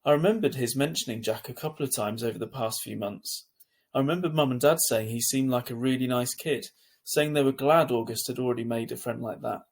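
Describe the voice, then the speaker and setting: A man's voice: medium pitch, a little fast. A man reading a children's novel aloud as scripted narration, like a teacher to a class.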